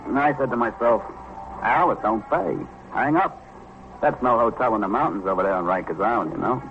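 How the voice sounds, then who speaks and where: A man's voice: mid-range voice, a little fast, natural voice. Glib New small-time crook, played by a man, muffled recording. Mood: glib, chummy. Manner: streetwise slang, ingratiating protests. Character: glib small-time ex-con numbers racketeer, feigning honesty. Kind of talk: old-time radio drama acting. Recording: muffled, noisy vintage radio recording.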